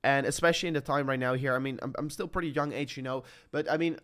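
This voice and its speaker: A man's voice: mid-range voice, steady tone, fast talker, a bit bright. A man in his twenties talking in a rambling, motivational vlog monologue. He fills with "I mean" and "like", repeats phrases and corrects himself often. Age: 20s. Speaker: crypto YouTuber.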